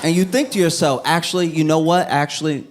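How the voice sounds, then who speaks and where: A man doing a stand-up routine, telling a story with animated bursts and acting out scenes. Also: a little high, brisk, a loud crowd. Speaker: stand-up comedian. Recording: live stage recording with audience noise. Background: audience laughter.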